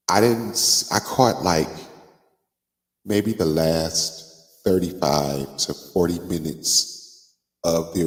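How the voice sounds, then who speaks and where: Casting: deep-voiced man, stuffy with a cold, loose live-stream chatter, long reverb behind him. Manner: chatty, drawn-out fillers like "like" and "um". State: congested from a cold. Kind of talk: casual live stream chat.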